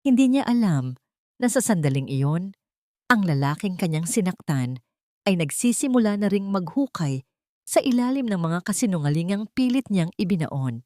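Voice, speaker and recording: A female narrator tells a scripted dramatic story. Her pitch is a little low for a woman. The recording is dry, with no room sound. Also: sing-song, moderate pace, warm tone, no room sound.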